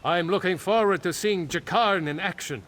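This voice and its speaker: An actor playing a lusty, infatuated sailor in a video game, suggestive and smug. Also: male voice, high voice, normal speed, a bit bright, a little hiss or hum. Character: lusty, starry-eyed male sailor, an infatuated admirer. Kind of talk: video game character dialogue.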